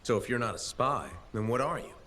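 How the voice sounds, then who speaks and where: A man's voice: mid-range voice, expressive, fairly quick, bright, forceful tone. A man playing a wry, guarded freelance mercenary in video game cutscene acting, speaking in a dry, sardonic, evasive way.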